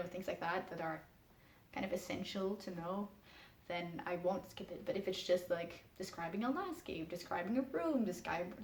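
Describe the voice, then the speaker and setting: A young woman, a book vlogger chatting frankly to camera and keeping her voice in a low register. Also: roomy sound. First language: Dutch. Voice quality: speaks in a low register in English. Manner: chatty, frank, laughs often, emphatic refusals. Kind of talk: casual answers to a tag video, talking to camera. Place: room at home.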